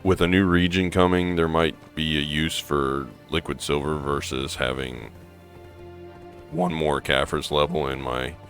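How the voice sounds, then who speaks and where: A hoarse man playing a game and thinking aloud in a rambling, casual way, with fillers and restarts. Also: extremely low pitch, fairly even intonation, a little slow, a bit bright, soft background music. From American Midwest. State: hoarse from allergies. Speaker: gaming streamer. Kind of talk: casual gameplay commentary.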